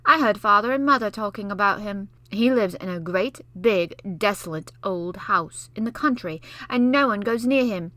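A woman's voice: high voice, lively intonation, a little fast, hardly any pauses, bright, forceful tone, dry recording, pitched above the performer's own voice. A woman voicing a scornful seven-year-old boy who teases and mocks in a storybook reading. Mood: taunting. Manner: taunting, mocking.

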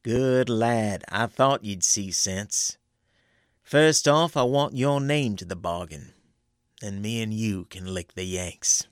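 A man's voice: on the low side, lively intonation, slow, clear, resonant tone, no room sound, studio quality. A man voicing a scheming backwoods conjurer with a wheedling rustic drawl, read as a story. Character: scheming backwoods male conjurer, sly and coaxing. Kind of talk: audiobook character voice.

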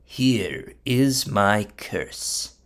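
A greedy storybook curse-maker, acted out by a man, reluctant and easily flustered. He is hesitant yet excitable, liable to swing between muttering and yelling. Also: mid-range voice, slow pace. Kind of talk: storybook character voice.

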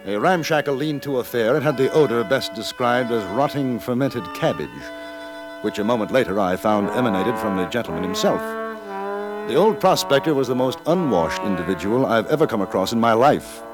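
English frontier correspondent, male actor: well-mannered, dryly wry, animated mid-pitched voice, vintage radio drama. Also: fairly quick, over music, lightly acted. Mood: wry, droll. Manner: polite, understated, wry. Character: courteous, wry English newspaper correspondent in the West. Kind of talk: 1950s radio drama acting and scripted narration. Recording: vintage 1950s radio recording.

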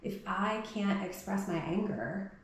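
A woman's voice: mid-range voice, unhurried. A woman co-hosting a podcast, talking in a thoughtful, musing way and often asking rhetorical questions. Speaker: podcast co-host. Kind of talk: reflective conversational chat. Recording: very echoey room with faint noise.

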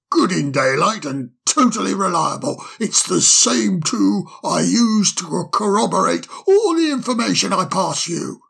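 Man playing a nervous bumbling owl, stammers a lot, slow and gravelly, raspy. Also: sits high, a bit bright, exaggerated voice. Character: timid flustered male owl underling. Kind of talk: storybook audiobook character voice.

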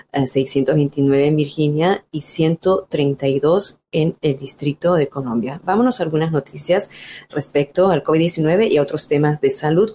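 Woman, health news presenter, very low voice, mellow tone, faint background hum. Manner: steady newsreading with small slips.

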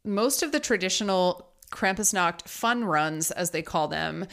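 A woman's voice: on the low side, animated, unhurried, creaky, fairly bright tone. A woman co-hosting a podcast, casually presenting researched facts with a playful, joking manner. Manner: playful, jokey, laughs often. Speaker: podcast co-host.

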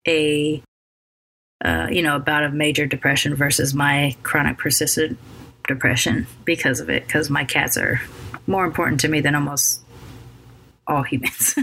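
A woman hosting her podcast late at night, tired and with a scratchy voice left over from a cold, talking in a halting way with many ums and restarts. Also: deep voice, level tone, gravelly, pushed and bright. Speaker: podcast host. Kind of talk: casual solo podcast intro.

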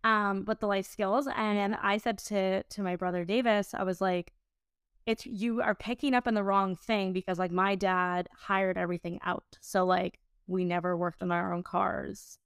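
Smooth-voiced woman in her late twenties, chatting. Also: medium pitch, fairly even intonation, normal speed, no echo, studio quality. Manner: informal, rambling, peppered with "like". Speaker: podcast host and business owner. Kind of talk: casual conversational podcast chat.

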